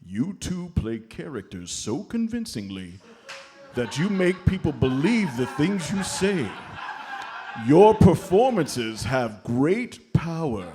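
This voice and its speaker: A man playing a jolly, avuncular Santa Claus, gently scolding and fond, in a live comedy radio play. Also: on the high side, big pitch swings, takes it slowly, over music. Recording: live stage comedy show recording.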